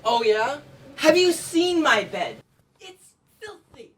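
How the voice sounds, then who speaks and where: Young woman playing domineering spouse, condescending, complaining, animated delivery, live stage play, faint background noise. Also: high-pitched, slow, heavily acted. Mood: indignant, complaining. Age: teenager or young adult student. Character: domineering, fault-finding spouse named Sam, married two years. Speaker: student stage actor. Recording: distant noisy stage recording.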